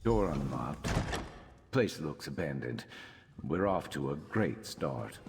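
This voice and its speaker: Very deep, sardonic lizard-man mercenary. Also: slow, over loud music, full character voice. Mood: dry, wry. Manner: dry, sardonic, deadpan. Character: gruff sardonic male Argonian lizard-man mercenary companion. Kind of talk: video game character dialogue.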